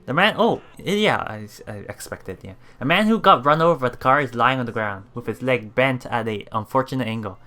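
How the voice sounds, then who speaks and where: A man doing a let's play, reading visual novel text aloud haltingly, with stumbles, self-corrections and casual asides. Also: medium pitch, expressive, bright, forceful tone.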